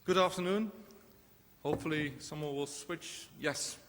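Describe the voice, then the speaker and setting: A man with a Dutch accent, a Dutch native speaker, giving a relaxed conference talk with many hesitations. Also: on the high side, unhurried, slight background noise. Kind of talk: conference presentation. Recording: echoey conference hall.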